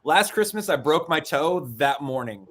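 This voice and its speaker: A man's voice: fairly high pitch, animated, normal speed, a bit bright, slight background noise. A man in his late thirties, an easygoing co-host reading chat on a livestream. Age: 30s. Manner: easygoing, wraps up and reads chat aloud.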